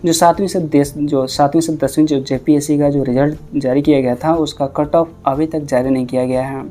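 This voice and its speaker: Dark-voiced Indian man reading news updates. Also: a little high, steady tone, normal speed, faint music behind. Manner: repeats and rephrases points to explain. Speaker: host of an exam and job news channel. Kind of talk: news update narration, reading and explaining reports.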